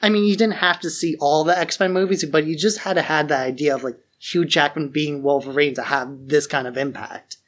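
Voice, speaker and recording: Male podcast co-host, slightly high pitch, informal, opinionated, fan-style casual chat. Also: steady tone, a little fast, few pauses, a bit bright. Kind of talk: casual film discussion.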